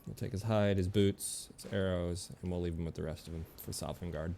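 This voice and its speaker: A man's voice: sits low, fairly even intonation, a little fast, creaky, dark tone, slight background noise. A man giving casual gameplay commentary, rambling and thinking aloud as he plays. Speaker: game streamer.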